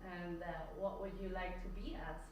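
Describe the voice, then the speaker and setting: A woman's voice: lowish voice, level tone, normal speed, a little mellow. A woman asking short, plain interview questions. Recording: roomy with faint background noise.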